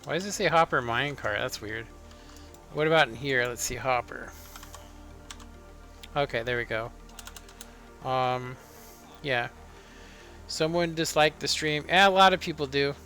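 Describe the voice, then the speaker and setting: A man in his thirties, a live streamer chatting casually and rambling with lots of fillers in a monotone way. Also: mid-range voice, measured pace, long pauses. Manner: relaxed, rambling, many fillers. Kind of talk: casual live-stream chat while playing. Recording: faint background music.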